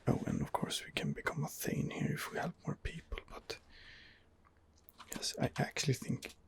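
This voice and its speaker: A man giving whispered ASMR commentary on a game as its host, in a hesitant, rambling manner. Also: normal speed. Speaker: gaming video host.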